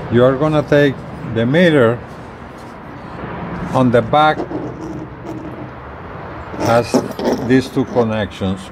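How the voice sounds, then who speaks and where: A man in his sixties giving a casual, plain-spoken, step-by-step product demonstration with halting phrasing. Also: medium pitch. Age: 60s. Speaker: gadget reviewer. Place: outdoors on a residential balcony. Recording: clear outdoor ambient noise.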